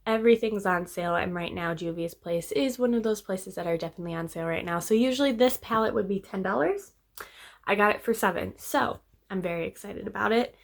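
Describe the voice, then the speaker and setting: A young woman talks through a makeup tutorial in a casual, upbeat way, often trailing off into fillers. Her voice sounds a little hoarse and congested, as if she's coming down with a cold. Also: mid-range voice, a little fast. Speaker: beauty vlogger.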